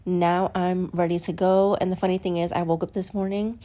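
A woman's voice: on the low side, level tone, a little fast, warm tone. A woman hosting a solo podcast in a casual, candid way. She is a little congested and sniffly and often acts out what people say. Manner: chatty, candid, acts out quoted voices. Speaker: podcast host.

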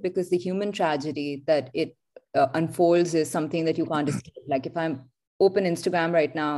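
A woman hosting an interview in a fairly low voice. She builds long, reflective questions. She often pauses on fillers like "you know" and "sort of". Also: mellow. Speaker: interview host.

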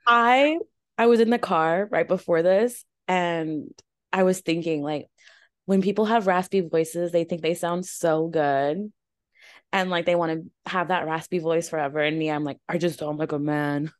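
Raspy young woman co-host, lowish pitch, relaxed rambling delivery, dry sound. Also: normal speed, no echo. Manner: relaxed, rambling, laughs often. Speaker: podcast co-host. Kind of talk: casual chat.

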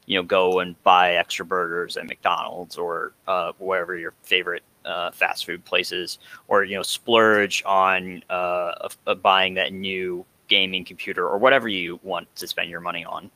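A man in a casual discussion, explaining haltingly with everyday examples. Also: low-pitched, little pitch movement, pushed and bright, poor audio.

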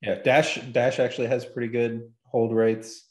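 A man's voice: mid-range voice, little pitch movement, moderate pace, roomy sound. A man talking casually and technically about gaming, explaining in an informal, hesitant way as a guest analyst.

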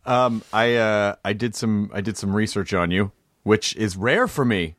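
A man's voice: lowish voice, a little slow, a bit bright, no room sound, studio quality. A man hosting a casual, playful interview, often repeating himself for effect. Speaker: interviewer, show host. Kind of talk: casual joking interview chat.

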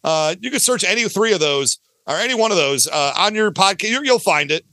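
A man's voice: high voice, lively intonation, brisk, pushed and bright, no room sound. A talkative, enthusiastic man chatting casually as a podcast guest, promoting his own work in a self-deprecating way. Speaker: podcast guest, audio drama creator.

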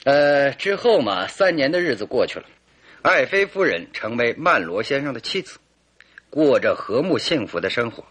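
Confident male detective, old radio play. Bright, slightly rough voice, leisurely theatrical deductions. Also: medium pitch, very animated, moderate pace. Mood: animated narrating. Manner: theatrical, leisurely deductive storytelling with rhetorical questions. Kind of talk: old-fashioned Mandarin radio drama acting.

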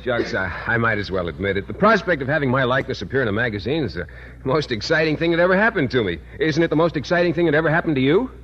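An actor playing a shy, boyish science teacher in a 1950s radio sitcom, awkward and full of folksy slang. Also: male voice, medium pitch, sing-song, racing, lightly acted. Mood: bashful. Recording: muffled old radio broadcast with hiss.

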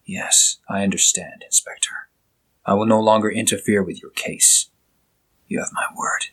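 A man voicing a reserved doctor in his own audio story, speaking in a breathy half-whisper, low and husky. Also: fairly even intonation, normal speed. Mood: guarded. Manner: hushed, breathy whispering, quiet and guarded. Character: reserved, secretive doctor detective, adult man.

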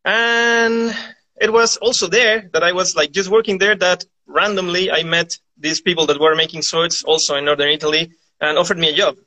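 Man, bright forward tone, higher pitch, casual rambling interview chat. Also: steady tone, normal speed, low-quality recording. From Chile. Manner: rambling, peppered with "like". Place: live video call.

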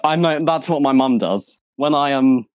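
A man's voice: mid-range voice, expressive, moderate pace, dry recording, studio quality. A young man co-hosting a podcast, telling stories casually with stumbles and frequent laughter. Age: young adult. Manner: stumbling, giggly. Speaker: podcast co-host. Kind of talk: casual chat.

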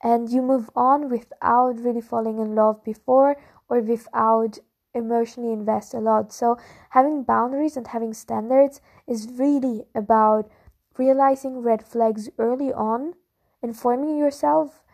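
A woman's voice: on the high side, steady tone, a little slow, a little mellow. A woman hosting a podcast, giving casual solo advice in an emphatic, repetitive way peppered with "like" and "you know". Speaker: podcast host.

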